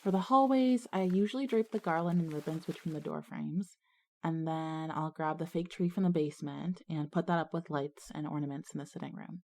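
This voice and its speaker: Low-voiced, put-upon schoolgirl. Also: steady tone, fairly quick, dark tone. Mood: dry, frustrated. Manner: plainspoken, wry, exasperated. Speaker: character and first-person narrator. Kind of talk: scripted audio drama.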